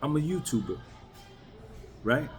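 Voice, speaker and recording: A man in his mid-fifties speaks bluntly and emphatically, owning his errors in a casual, unpolished way. His voice sits at an ordinary middle pitch. Faint music plays quietly under him. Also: big pitch swings, unhurried. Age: 50s. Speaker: YouTuber. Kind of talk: casual talk to camera.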